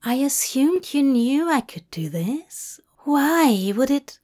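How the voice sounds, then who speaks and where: A fussy, gentle male angel , as a woman reads him, soft and cooing. He speaks primly and slowly, trying to soothe. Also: high-pitched, big pitch swings, pitched above the performer's own voice, exaggerated voice. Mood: soothing.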